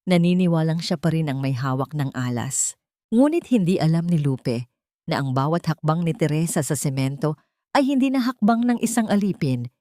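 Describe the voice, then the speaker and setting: A woman reads a dramatic audiobook story in a low voice. Her delivery is solemn and deliberate, following a written script. The recording is dry and close, with very little room sound. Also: mellow, no room sound. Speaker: audiobook narrator.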